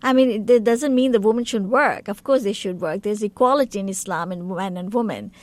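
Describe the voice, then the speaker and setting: A woman's voice: medium pitch, brisk, dry recording. A woman from Bangladesh with a Bangladeshi accent, a guest on a talk show, speaking earnestly and at length with loose grammar and many fillers. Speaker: guest, community council vice president. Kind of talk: interview.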